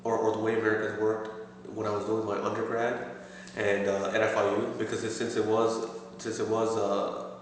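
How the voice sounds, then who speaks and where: A man in his thirties from Pakistan, answering interview questions with many pauses and restarts. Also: lowish voice, monotone, far from the mic. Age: 30s. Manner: hesitant, many fillers and restarts. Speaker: interviewee, assistant store manager. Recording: echoey room, voice from afar, faint noise.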